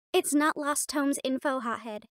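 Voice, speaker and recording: Woman acting a high-pitched bossy catgirl cheerleader. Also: unhurried, a bit bright, no echo, studio quality. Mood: teasing. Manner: bossy, sassy, emphatic. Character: bossy, sharp-tongued young catgirl cheerleader captain, secretly fond. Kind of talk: scripted audio roleplay acting.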